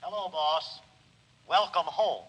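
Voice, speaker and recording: A man's voice: on the high side, takes it slowly, fairly bright tone, slightly rough, full character voice. An actor voicing a devoted, proud and defiant male robot servant in a 1950s radio drama. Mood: calm, obliging. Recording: 1950s radio recording, long reverberant tail.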